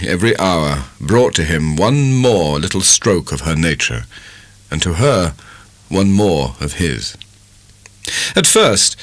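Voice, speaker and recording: A British man narrates a classic novel in a deep voice with animated, expressive intonation. His delivery is dramatic yet measured, and the recording is close and dry. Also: dry recording. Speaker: audiobook narrator. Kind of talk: dramatic scripted literary narration.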